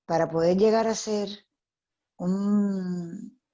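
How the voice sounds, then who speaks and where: A woman speaks with a Cuban Spanish accent, in a warm, mellow tone. She answers reflectively, pausing often with fillers, over a slightly dull, lo-fi call. Her pitch sits in an ordinary middle range. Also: fairly even intonation. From Cuba. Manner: thoughtful, hesitant with many fillers. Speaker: actress, interview guest. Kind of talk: conversational interview answer.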